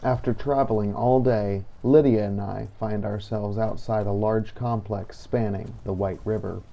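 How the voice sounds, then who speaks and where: A man's voice: lowish voice, steady tone, measured pace, gravelly, noisy background. A man hosting a roleplay game series, narrating in a scripted, first-person journal style. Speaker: gameplay series host and narrator.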